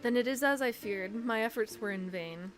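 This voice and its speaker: A woman voicing a formal, earnest young fantasy scholar, reading game dialogue in a measured way. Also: fairly high pitch, soft background music, lightly acted, low-quality recording. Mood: troubled.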